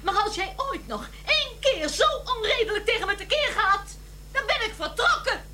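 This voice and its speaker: A woman's voice: very high voice, expressive, moderate pace, bright, forceful tone, slight background noise, heavily acted. An actress playing an emotional, emphatic woman in a domestic audio drama. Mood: exasperated. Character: exasperated, caring woman at home, talking to her man.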